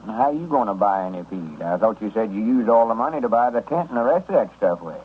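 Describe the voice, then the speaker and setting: An actor playing a sensible, grumbling country storekeeper with a rural Southern accent and folksy, admonishing way, in a 1930s radio comedy. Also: male voice, on the low side, animated, quick pace, close to an everyday voice. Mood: scolding. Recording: tinny, noisy 1930s radio recording.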